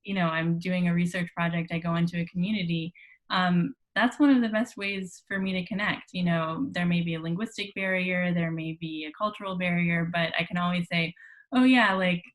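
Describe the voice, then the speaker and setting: A woman's voice: on the low side, fairly even intonation, a little fast, low-quality recording. A woman moderating an online workshop panel, chatty with lots of fillers, speaking over a video call. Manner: chatty, many fillers and restarts. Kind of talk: casual panel discussion.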